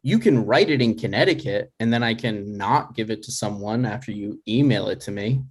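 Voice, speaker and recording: A man's voice: fairly low pitch, moderate pace, husky. A man playing a dry, exasperated, reluctant adult son in a comedy table read, hesitant and natural in delivery.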